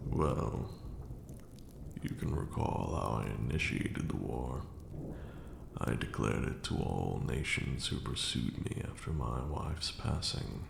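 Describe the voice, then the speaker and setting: A man voicing an ancient, brooding vampire lord who mentors with dark grandeur and remorse in a hushed, formal ASMR roleplay monologue. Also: very deep voice, reverberant, long reverb tail, background noise, heavily acted. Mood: grave, reminiscing. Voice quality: gravelly, breathy drops into near-whisper. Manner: slow, deliberate, hushed, formal archaic phrasing.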